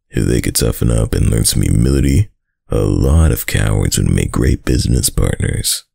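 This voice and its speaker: A man playing a dominant, doting yet menacing mafia heir boyfriend in a roleplay ASMR audio drama, with a deep, husky, gravelly voice and a smug tough-guy drawl. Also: a little mellow, no echo, clean studio sound, exaggerated voice. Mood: disdainful, musing.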